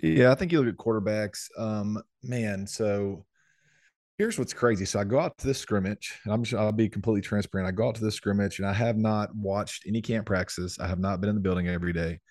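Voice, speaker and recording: A man's voice: on the low side, fairly quick, darkish tone, no room sound, studio quality. A man in his thirties, a former football lineman, co-hosting a sports podcast in a laid-back, rambling way. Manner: laid-back, rambling, many fillers and restarts. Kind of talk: casual sports chat.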